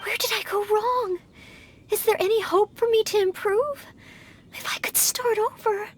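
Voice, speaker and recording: A woman's voice: very high-pitched, fairly even intonation, unhurried, a little hiss or hum. A woman voicing an earnest, anxious, polite young maid in a video game, studious and fretful in manner. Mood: worried, dejected. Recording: background music behind voice.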